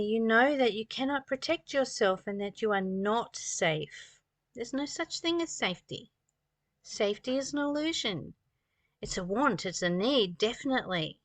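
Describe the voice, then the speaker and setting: A woman's voice: on the high side, normal speed, fairly bright tone, no room sound. Woman in her sixties, close dry sound, earnest. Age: 60s. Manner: earnest, preaching, with rhetorical questions and repetition. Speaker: spiritual teacher reading and commenting on a study text. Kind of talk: spiritual talk with read passages and commentary.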